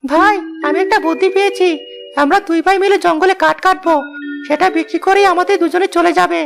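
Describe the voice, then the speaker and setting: A boyish, scheming village lad from a cartoon fairy tale. The voice is extremely high and creaky and rattles along quickly. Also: female voice, steady tone, soft background music. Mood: eager. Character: lazy, scheming young village brothers, boyish and defiant.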